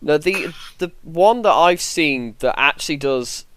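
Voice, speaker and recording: A man's voice: on the high side, animated, measured pace, fairly bright tone, clear, resonant tone, dry recording. A man hosting a podcast, talking through his opinions in a casual, conversational way. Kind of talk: casual chat, discussing opinions.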